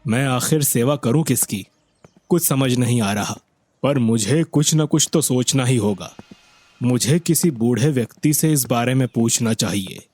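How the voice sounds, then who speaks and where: A man's voice: medium pitch, measured pace, a little mellow, no echo, lightly acted. A man voicing an arrogant, impatient young villager, a selfish elder son, brusque and complaining in a moral story. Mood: puzzled. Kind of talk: acted moral story dialogue.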